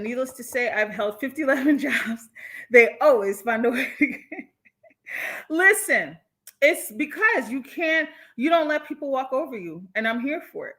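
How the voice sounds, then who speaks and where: A woman with an American accent hosting a casual livestream, reading and answering viewer comments in an animated, candid, slangy way. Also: high voice. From born in Jamaica, moved from the United States. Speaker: livestream host.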